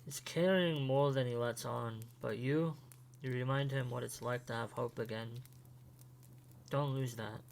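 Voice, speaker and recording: A man playing an earnest, guarded, quietly supportive young man in a fan audio drama, speaking in a measured way. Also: mid-range voice, fairly even intonation, gravelly. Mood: reassuring. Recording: faint hum behind the voice.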